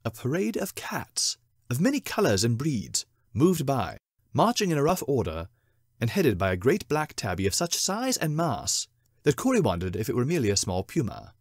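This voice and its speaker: Animated male storyteller, dry close sound. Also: medium pitch, breathy edge, no echo. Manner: theatrical, deliberate phrasing. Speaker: narrator, short story reader. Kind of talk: dramatic scripted storybook narration.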